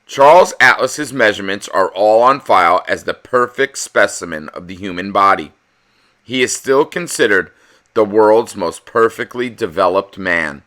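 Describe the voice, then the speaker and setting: Bright, animated male storybook reader. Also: lowish voice, moderate pace. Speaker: read-aloud host reading a children's picture book. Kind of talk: expressive children's storybook narration, with casual chat to viewers.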